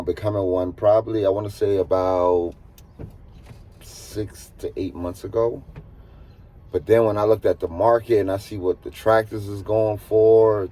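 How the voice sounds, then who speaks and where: A man's voice: fairly low pitch, a little mellow. A man in his thirties with an American accent, chatting casually to viewers as a truck driver vlogging, repeating himself for emphasis. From eastern United States. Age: 30s. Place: inside a truck cab. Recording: clear vehicle noise behind voice.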